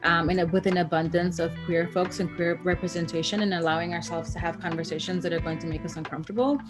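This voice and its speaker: A woman from El Salvador speaks about her work as a street artist. Her voice is low and earnest, broken up with ums. Guitar music plays clearly beneath her. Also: little pitch movement, fast talker. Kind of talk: interview talk.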